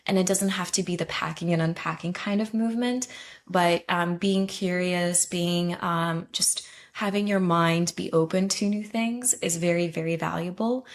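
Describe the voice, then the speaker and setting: Soft-spoken woman, hesitant and chatty. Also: on the low side, moderate pace. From Ukraine. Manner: hesitant, many ums and restarts, laughs easily. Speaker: guest, travel consultant. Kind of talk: casual interview conversation.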